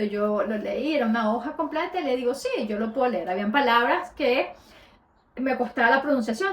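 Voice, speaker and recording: A woman's voice: a little high, echoey room. A Latin American woman with a Spanish accent, a podcast host telling a personal story in a conversational way, with frequent hesitations.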